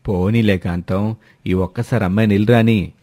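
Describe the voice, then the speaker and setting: A man voicing a mild, wry older father-in-law in an animated story, placating and gently complaining. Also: fairly low pitch, a little fast, no room sound, clean studio sound, close to an everyday voice. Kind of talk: Telugu animated moral story dialogue.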